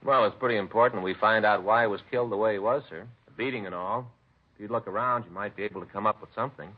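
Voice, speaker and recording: An actor playing a plainspoken police detective partner in a vintage radio crime drama, conversational and matter-of-fact. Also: male voice, lowish voice, fast, breathy edge. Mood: businesslike. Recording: muffled, faintly noisy vintage radio recording.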